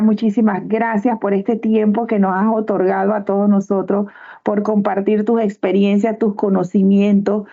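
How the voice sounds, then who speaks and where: A woman hosts a podcast interview with a gravelly, mellow voice, a little higher than most. She asks long questions and thanks her guest effusively. The call audio sounds somewhat dull. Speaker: podcast host. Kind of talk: interview hosting.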